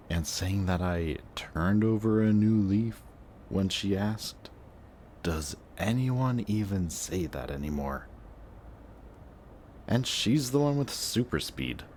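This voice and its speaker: A very deep, slow-talking young man acting as a sardonic villain from an audio drama. He is cocky and teasing, with muttered casual asides and a kinder streak underneath. Faint noise sits behind the voice. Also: a little mellow. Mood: grumbling, bored. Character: cocky male antihero villain, sarcastic, teasing, secretly kind.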